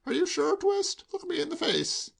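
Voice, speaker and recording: A man's voice: very high-pitched, sing-song, moderate pace, creaky, fairly bright tone, pitched above the performer's own voice, heavily acted. A man voicing a drunk, jubilant young man who declaims Shakespeare with exuberant theatrical flourish. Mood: stern. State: tipsy.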